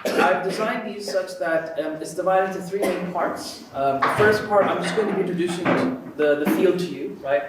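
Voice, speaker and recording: A man's voice: high voice, moderate pace, hardly any pauses. A man in his thirties from Kuwait gives an informal classroom lecture in a conversational way, often checking in with his listeners. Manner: conversational, frequently checks in with "right?". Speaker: lecturer, university professor teaching a course. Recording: very echoey room, distant mic, faint noise.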